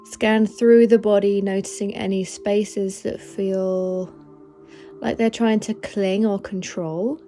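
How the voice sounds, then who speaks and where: Woman, meditation guide, slow pace, soft mellow tone, gentle and soothing, music behind. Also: mid-range voice, little pitch movement. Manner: gentle, soothing, unhurried instruction. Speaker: meditation guide, podcast host.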